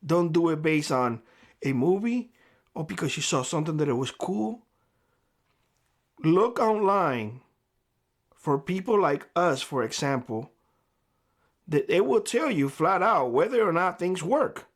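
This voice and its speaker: A man with a fairly high voice talks straight to camera in a vlog. His voice is hoarse, as if he is losing it. His advice is blunt and lecturing. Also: animated, unhurried, pauses often. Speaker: prepper YouTuber. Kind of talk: direct-to-camera vlog monologue. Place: homestead.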